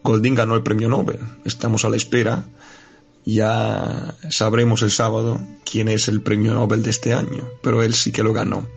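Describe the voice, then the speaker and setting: Gravelly man with an Italian accent. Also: fairly low pitch, completely flat delivery, faint music behind. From Italy. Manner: hesitant, with many pauses and fillers. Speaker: guest commentator, philosophy teacher. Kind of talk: casual spoken commentary.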